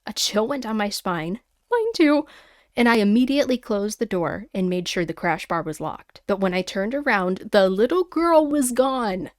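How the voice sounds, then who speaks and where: A woman podcast host reading listeners' stories aloud in a casual, conversational way with animated personal asides. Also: mid-range voice, normal speed, no room sound, studio quality. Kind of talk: casual chatty storytelling with read-aloud emails and asides.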